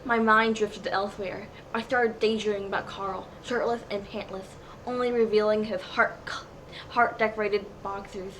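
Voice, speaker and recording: A woman's voice: medium pitch, steady tone, normal speed, fairly bright tone. A woman narrating a comedic dramatic reading of fan fiction in a mock-serious storybook manner. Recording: clearly noisy home recording.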